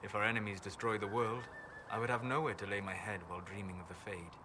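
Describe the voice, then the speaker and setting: An actor voicing a calm, reserved elven apostate mage in a video game, speaking in a measured, formal, thoughtful way. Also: male voice, on the low side, natural voice. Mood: reflective. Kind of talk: video game dialogue acting. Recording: clear ambient noise behind game dialogue.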